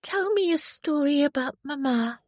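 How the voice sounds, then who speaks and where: Tiny precise little girl, squeaky high, dry close sound. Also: animated, moderate pace, dry recording. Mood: childlike. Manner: precise, piping. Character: precise little girl, a sleepy young child.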